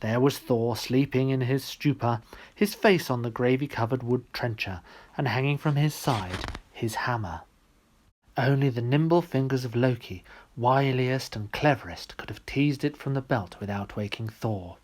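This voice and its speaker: A man reads a storybook aloud. His voice sits at a middle male pitch. The narration is scripted and expressive. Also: normal speed. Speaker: storybook reader.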